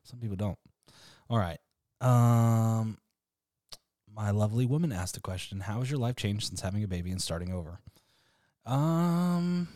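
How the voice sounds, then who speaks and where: A man in his thirties with a deep voice, hosting a casual podcast chat in a relaxed, freely swearing way. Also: no room sound, studio quality. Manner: laid-back, swears freely, laughs often. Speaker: podcast host.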